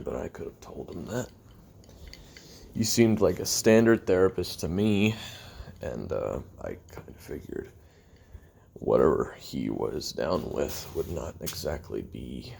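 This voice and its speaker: Sardonic assassin played by a man for confessional audio roleplay: heavy gravel and fry, deadpan, halting delivery that still swings in pitch. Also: a little hiss or hum, heavily acted. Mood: dry, sardonic. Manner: halting, deadpan, dryly self-deprecating. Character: weary, sardonic male assassin recording taped memoirs.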